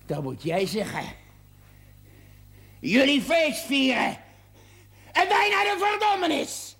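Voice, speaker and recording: An actor playing a rough, hot-tempered miner in a television drama, coarse, sarcastic and confrontational. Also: male voice, very high voice, wildly swooping pitch, slow pace, a bit bright, full character voice. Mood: bitter defiance. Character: rough, foul-mouthed, defiant male miner, hot-tempered. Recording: hissy, noisy old TV soundtrack.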